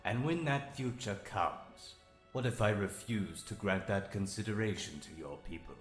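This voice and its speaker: Male actor as old galactic tyrant for audio comic. Deep, imperious, disdainful, probing; roomy echo around the voice. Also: moderate pace, close to an everyday voice. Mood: probing, menacing. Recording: roomy echo, music behind.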